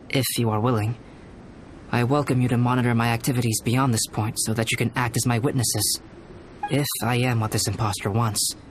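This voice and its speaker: A young male alchemist played by an actor in a video game. He talks at a middling pitch with measured, scholarly precision and formal composure, over clear background music. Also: natural voice. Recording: music behind the voice.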